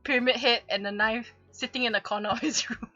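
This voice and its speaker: A woman's voice: fairly high pitch, steady tone, fast, muffled recording, music in the background. A woman reading fan fiction aloud with comic drama and casual chat, giggling and stumbling over her words. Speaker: streamer hosting a fanfic reading.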